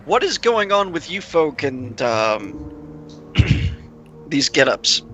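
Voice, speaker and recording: A man's voice: on the high side, big pitch swings, extremely slow, fairly bright tone, faint music behind. A man playing in a tabletop roleplaying game, talking casually in and out of character.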